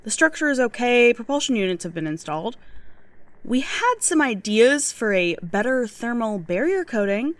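A woman playing an earnest, awkward rocket engineer in a sci-fi audio drama, halting one moment and eager the next. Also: on the high side, animated, no room sound, close to an everyday voice. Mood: hesitant, harried.

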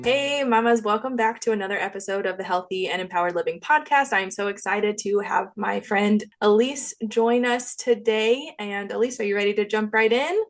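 A woman's voice: mid-range voice, normal speed, few pauses, soft background music. Encouraging woman podcast host. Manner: earnest, encouraging. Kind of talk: podcast intro and interview.

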